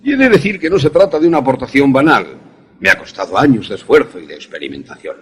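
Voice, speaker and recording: An actor playing an older professor in a suspense radio drama, an obsessive inventor who explains things in a deliberate, didactic, husky way. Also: male voice, mid-range voice, sing-song. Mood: didactic, proud. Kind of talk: Spanish-language suspense radio drama acting.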